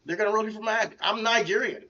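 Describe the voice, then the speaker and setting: A man's voice: high voice, very fast, pushed and bright, muffled recording. A man co-hosting a casual podcast chat, talking bluntly and animatedly in slangy speech. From United States. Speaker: podcast co-host.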